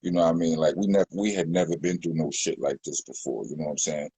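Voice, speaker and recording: Deep-voiced man, low and husky. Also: fairly even intonation, fast talker, warm tone. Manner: rambling, many fillers and restarts. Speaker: rapper, guest. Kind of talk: casual interview talk.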